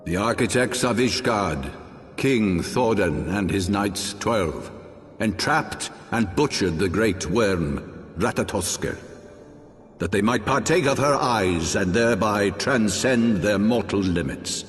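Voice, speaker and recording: A proud, calculating old archbishop holds forth in a fantasy game. His voice sits on the low side and moves with grave, formal deliberation. It rings through a long reverberant hall, with music underneath. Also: expressive. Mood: grave, measured. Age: older. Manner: grave, measured, formal archaic oratory. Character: solemn aging archbishop, proud, calculating father. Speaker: voice actor. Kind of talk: dramatic fantasy game acting. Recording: long cavernous reverb, orchestral music behind.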